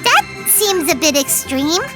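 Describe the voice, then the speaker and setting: A tiny, chirpy fairy sidekick from a video game. The voice is extremely high, squeaky and childlike, swinging up and down with curiosity. It takes its time over the words, and music plays prominently behind it. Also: female voice, animated, a little slow. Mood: puzzled. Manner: refers to self in third person, inquisitive. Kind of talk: video game character dialogue.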